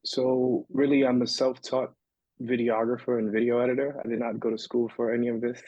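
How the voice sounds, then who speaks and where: Husky guy from the dominican republic, rambly and easygoing, kinda muffled dry sound. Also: mid-range voice, level tone, fairly quick, warm tone, no room sound. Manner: loose and rambling, many fillers and restarts. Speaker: podcast guest, videographer. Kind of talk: casual interview storytelling.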